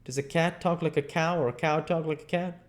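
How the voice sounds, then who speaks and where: A man's voice: on the high side, fairly even intonation, a little fast, pitched above the performer's own voice, natural voice. A man voicing an earnest, know-it-all Southern boy in an audiobook, explaining things persuasively in country dialect. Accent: American South. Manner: earnest, persuasive, lilting questioning. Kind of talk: audiobook character dialogue.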